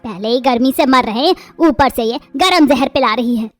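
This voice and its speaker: A woman voicing a harsh middle-aged mother-in-law in an animated family drama, hurling shrill insults. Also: normal speed, soft background music, close to an everyday voice. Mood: furious. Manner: shrill, insulting, shouting tirades.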